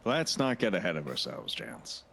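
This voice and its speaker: Man acting a pompous deep-voiced detective, swooping delivery. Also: moderate pace, creaky, breathy edge, heavily acted. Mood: probing, firm. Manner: boastful, formal, deadpan. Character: very tall, pompous male detective and sausage artist. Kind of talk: comedic audio drama.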